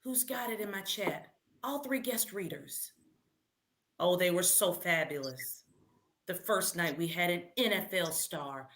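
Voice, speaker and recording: A woman hosting a live read-aloud quiz for children in an animated, encouraging way, calling out to participants and repeating questions. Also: lowish voice, measured pace.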